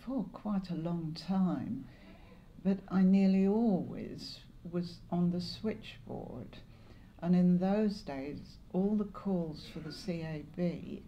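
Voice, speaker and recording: An older English woman in her seventies or beyond, reminiscing in an interview in a measured, halting way with pauses. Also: fairly low pitch, dark tone, muffled recording, slight background noise. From Lancashire, northwest England. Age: 70s or older. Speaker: interviewee, longtime community volunteer. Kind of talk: oral history interview, reminiscing.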